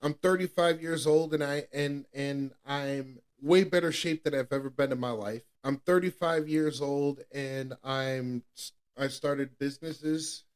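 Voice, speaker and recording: A man's voice: medium pitch, fairly even intonation, a little slow, clear, resonant tone. A man in his thirties giving a candid personal testimony as a podcast co-host, earnest and repetitive, often restarting his sentences. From New England. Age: 30s.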